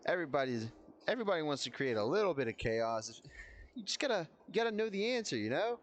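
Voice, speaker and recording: A man's voice: on the high side, very animated, quick pace. A man streaming a video game, giving casual, rambling, informal and self-deprecating live commentary. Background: game music.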